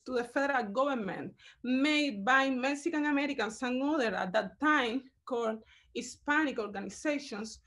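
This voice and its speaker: High-voiced curator, halting with fillers. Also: female voice, a bit bright. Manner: measured, halting, with frequent fillers. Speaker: curator giving a talk. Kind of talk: online lecture over slides.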